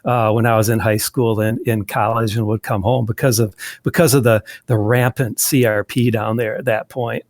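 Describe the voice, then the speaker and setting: A man's voice: on the low side, steady tone, moderate pace, few pauses, darkish tone, no echo. Rambling Midwestern man, dry close mic. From southwestern Wisconsin. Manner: rambling, frequent restarts and fillers. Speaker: guest, magazine editor. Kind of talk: casual interview conversation.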